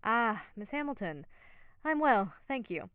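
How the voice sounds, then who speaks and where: A woman playing a calm, courteous police detective in an audio drama, businesslike and polite through a telephone filter. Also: on the high side, a little slow, no echo. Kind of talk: naturalistic audio drama acting. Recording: thin, band-limited phone line.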